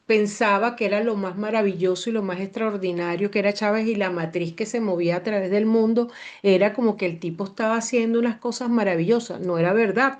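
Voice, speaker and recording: A Venezuelan woman, an astrologer, explaining in an animated, digressive way and repeating words for emphasis. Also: medium pitch, highs cut off. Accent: Venezuelan Spanish. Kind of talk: casual explanatory talk.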